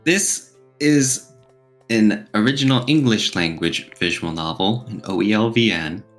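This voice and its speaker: A man's voice: lowish voice, a little slow, a bit bright. A man co-hosting a casual podcast discussion, explaining his points and posing questions as he goes. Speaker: podcast co-host. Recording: music playing behind the voice. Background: background music.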